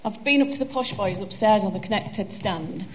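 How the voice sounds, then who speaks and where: A woman's voice: mid-range voice, normal speed, a little hiss or hum. A woman with a broad Yorkshire accent giving a chatty, joking conference presentation on a handheld microphone. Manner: chatty, self-deprecating, joking.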